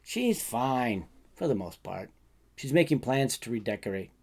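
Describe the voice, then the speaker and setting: A man's voice: medium pitch, sing-song, unhurried, a little mellow, husky, heavily acted. A man voicing a laid-back, drowsy, wry friend in a short story, talking in an offhand, hesitant way. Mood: wry, resigned.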